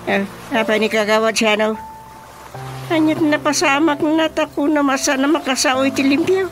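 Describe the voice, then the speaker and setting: A man's voice: extremely high pitch, moderate pace, over music. An actor playing a flustered, easily amused village chairman in a comic radio drama, stammering and exclaiming with an Ilocano accent. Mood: curious, animated. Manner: stammering, sputtering, full of exclamations.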